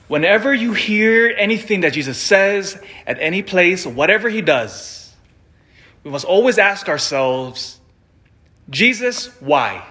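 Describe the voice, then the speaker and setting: Priest preaching, measured and emphatic man. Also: sits high, pushed and bright. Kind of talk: scripture reading and conversational homily.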